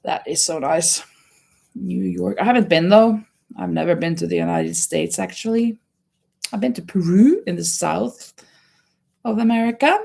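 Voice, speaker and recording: A woman's voice: lowish voice, normal speed, vocal fry, a little mellow, breathy edge. A Norwegian woman with a Norwegian accent, chatting casually to viewers as the host of a livestream. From Norway. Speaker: live stream host, crocheter.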